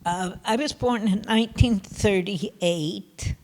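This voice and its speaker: An elderly woman in her eighties, born in Poland, tells her story slowly in a soft, faint voice marked by a tremor. She pauses and restarts often. The sound carries a long reverb from a large room. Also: mid-range voice. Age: 80s. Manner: slow and halting, with pauses and restarts. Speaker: Holocaust survivor speaker. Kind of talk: personal testimony to students. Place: consulate event room.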